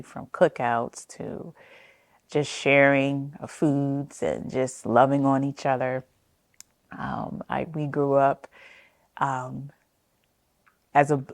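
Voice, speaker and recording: A middle-aged woman speaks in a strikingly deep voice, telling her family's history in an oral history interview. She is gentle and unhurried, pausing often with a soft "um". The sound is close and dry, with no room echo. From New Jersey. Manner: gentle and unhurried, with frequent "um" and soft trailing phrases. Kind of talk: reflective personal storytelling.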